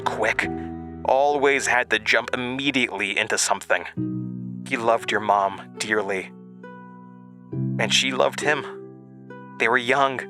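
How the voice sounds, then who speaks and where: Man acting out a gentle woman, philosophical, comforting, wistful, audio drama dialogue, clear music bed. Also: mid-range voice, natural voice.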